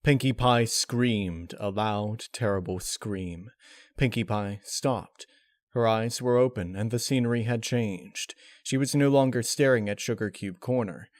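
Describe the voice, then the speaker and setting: A man narrating a scripted dark fan fiction story with dramatic storytelling delivery. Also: on the low side, measured pace, no room sound, studio quality.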